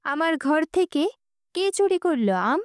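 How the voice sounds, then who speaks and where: A woman's voice: high voice, sing-song, measured pace, dry recording, clean studio sound, voice pushed up. A woman voicing a greedy, hot-tempered king in a storybook tale, commanding and emphatic. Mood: angry, threatening.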